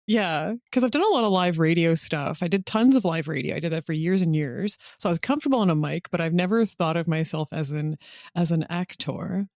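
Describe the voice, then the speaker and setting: A woman's voice: on the low side, animated, brisk, a little mellow, no echo. Casual woman storyteller, dry close sound. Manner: informal, peppers talk with "like" and acts out quoted exchanges. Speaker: podcast guest, audio voice director and writer.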